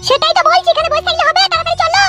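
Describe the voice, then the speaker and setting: A woman's voice: very high voice, little pitch movement, very fast, loud music behind the voice. A woman voicing an agitated, nagging village mother-in-law in a comedy cartoon. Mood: agitated, urgent. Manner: urgent, nagging.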